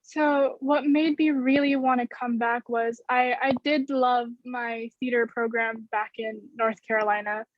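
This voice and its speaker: A woman in her twenties with an American accent, giving measured, explanatory answers in a panel discussion. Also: a little high, fairly even intonation, no room sound. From United States. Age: 20s. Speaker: theater student. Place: bedroom, indoors. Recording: muffled video-call audio.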